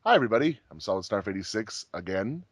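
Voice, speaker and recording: A man's voice: lowish voice, big pitch swings, quick pace, a little hiss or hum. A man hosting a casual group chat in a jokey, rambling, giggly way. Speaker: host of a fandub group commentary.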